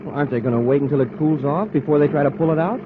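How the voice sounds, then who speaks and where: An actor playing an eager, respectful young space cadet with a boyish, keen manner, in 1950s radio drama style. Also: male voice, a little high, rapid-fire, natural voice. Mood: curious. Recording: tinny, slightly noisy vintage radio recording.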